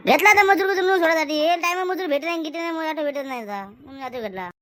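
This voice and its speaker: A woman acts out a chatty village auto-rickshaw man in a rural comedy cartoon. The voice is extremely high and races along in colloquial speech. The accent is Vidarbha Marathi. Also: racing, natural voice.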